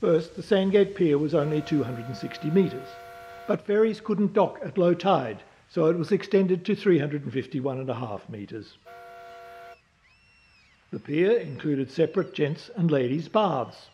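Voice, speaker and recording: A man giving measured, scripted documentary narration. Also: a little high, expressive, warm tone, highs cut off, a little hiss or hum. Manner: measured, informative.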